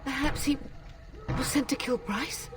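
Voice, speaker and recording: A woman's voice: high voice, unhurried. A woman playing a dignified, grieving noblewoman mother in a fantasy game, anxious and formal. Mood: worried. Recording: distant game audio under music.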